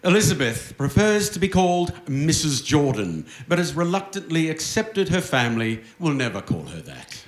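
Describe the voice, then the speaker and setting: A man's voice: fairly high pitch, very animated, normal speed, hardly any pauses, a little hiss or hum. A man narrating a live radio play in a wry, mock-grand, old-time wireless announcer style. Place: theatre stage with audience. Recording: roomy live theatre stage.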